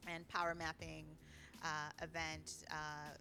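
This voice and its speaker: An American woman co-hosting a casual talk show, reflecting informally with thoughtful, halting phrasing. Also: low-pitched, level tone, gravelly, bright, forceful tone, slight background noise. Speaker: podcast co-host and community organizer.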